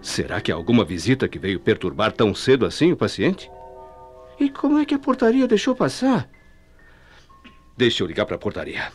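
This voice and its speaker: A man's voice: medium pitch, huge pitch swings, normal speed, long pauses. An actor playing an earnest, puzzled male hospital night-shift worker in a Brazilian radio mystery drama. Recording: radio play with music behind.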